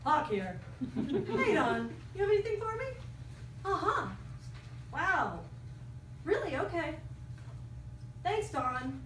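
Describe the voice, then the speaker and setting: A woman's voice: high-pitched, big pitch swings, takes it slowly, pauses often, exaggerated voice. A woman playing a breezy, wisecracking police detective in a live staged reading. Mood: breezy, businesslike. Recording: echoey distant stage, loud room noise.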